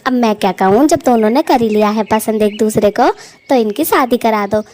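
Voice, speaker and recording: A woman voicing a chatty, affectionate young female bird in a Hindi family cartoon, with an expressive, teasing manner. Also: fairly high pitch, moderate pace, lightly acted. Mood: eager.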